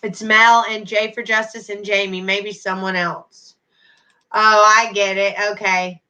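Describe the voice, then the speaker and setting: A woman's voice: medium pitch, flat delivery, unhurried, pushed and bright, poor audio. A middle-aged woman hosting a casual livestream, chatting to viewers by name with endearments. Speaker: YouTube livestream host.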